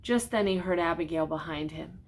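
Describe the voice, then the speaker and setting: An older woman reading a children's storybook aloud expressively, pausing for gentle, simply worded explanations. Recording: noticeable background noise with low rumble.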